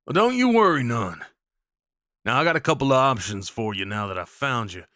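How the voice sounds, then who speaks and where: A man's voice: medium pitch, very animated, brisk, sharp tone, dry recording, clean studio sound. A man playing a rugged, kindly cowboy of the Old West in a roleplay audio drama, with a folksy, reassuring drawl. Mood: soothing.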